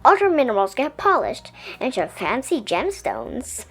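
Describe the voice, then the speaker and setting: A woman reads a picture book aloud for children. Her voice is high and highly melodic, explaining things expressively, with soft music behind her. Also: unhurried, husky. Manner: expressive, explanatory storytelling delivery. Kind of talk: children's science book read-aloud, scripted narration.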